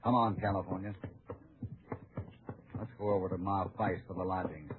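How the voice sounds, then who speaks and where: An actor playing a calm, confident cowboy hero with a dry, easygoing manner in an old-time radio western. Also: male voice, low voice, moderate pace, narrow, tinny audio, lightly acted. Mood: easy, polite. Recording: noisy, thin old radio recording.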